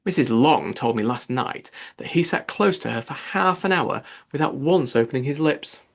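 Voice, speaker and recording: A man's voice: mid-range voice, lively intonation, normal speed, gravelly. A man voicing a gossipy, indignant middle-aged mother in an audiobook, effusive and opinionated. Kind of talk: audiobook character dialogue.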